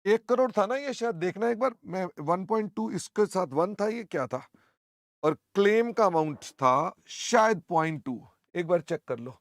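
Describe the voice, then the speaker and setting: Older guy teacher, hoarse throat, keeps clearing it, chatty and teasing, high-ish voice. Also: animated, unhurried, no echo, clean studio sound. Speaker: accounting teacher. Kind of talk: online class lecture.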